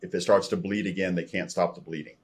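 Deep, husky older American man. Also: flat delivery, quick pace. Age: older, around 60. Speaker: podcast guest. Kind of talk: personal testimony storytelling. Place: room at home, indoors. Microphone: video call, computer microphone at desk distance.

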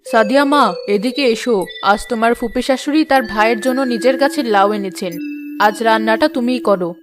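A woman's voice: fairly high pitch, fairly quick, music in the background, close to an everyday voice. A woman voicing a stern, irritable middle-aged mother-in-law in a scripted cartoon, with a curt manner. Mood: stern, scolding. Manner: scolding, curt.

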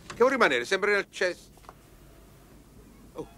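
An actor playing an exasperated, bossy but caring friend, scolding and coaxing in colloquial in a comedy film. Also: male voice, high-pitched, very animated, measured pace, sharp tone, a little hiss or hum, exaggerated voice. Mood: wry grumbling. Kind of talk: Italian film comedy acting.

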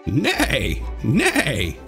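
A storybook narrator voicing friendly farm animals with drawn-out calls and inviting questions. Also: mid-range voice, wildly swooping pitch, creaky, fairly bright tone, heavily acted. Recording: music behind the voice.

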